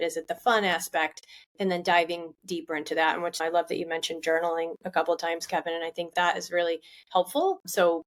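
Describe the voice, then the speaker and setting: A woman who is a guest on a podcast, talking in an upbeat, chatty way and laughing easily. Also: on the low side. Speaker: podcast guest, founder of a support group. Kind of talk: casual podcast interview.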